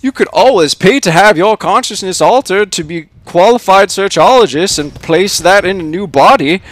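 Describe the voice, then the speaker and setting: A man's voice: high voice, moderate pace, no room sound, voice pushed up, natural voice. Officious, unhelpful AI clerk voiced by a man. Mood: coolly sardonic. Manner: formal, bureaucratic, matter-of-fact. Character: unhelpful officious male AI clerk, coolly sardonic. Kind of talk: short story character read aloud.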